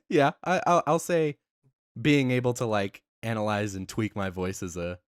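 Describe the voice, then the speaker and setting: A young man chatting playfully on a podcast, his pitch rising and falling a lot. He laughs easily. The sound is dry and close. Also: very animated, unhurried, no room sound. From United States. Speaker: podcast co-host. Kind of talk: casual chat.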